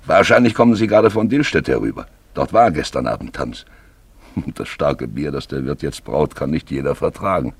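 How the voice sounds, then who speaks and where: An actor playing a gruff, superstitious old huntsman in a German radio play, with a gravelly, husky voice and a brusque, practical manner. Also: extremely low pitch, animated, normal speed, no echo, full character voice. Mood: sceptical.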